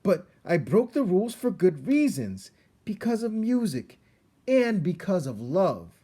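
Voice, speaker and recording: A meek, earnest little mouse from a storybook, voiced by a man. He speaks politely and hesitantly, with a husky edge and lively pitch. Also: measured pace, full character voice. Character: small, meek, earnest young male mouse.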